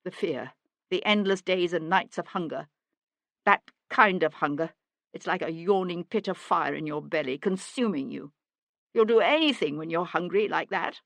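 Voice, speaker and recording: A woman's voice: lowish voice, normal speed, creaky, no room sound. Woman playing middle-aged merchant man, blustering, frightened, indignant, pleading, dry audiobook sound. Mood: desperate pleading.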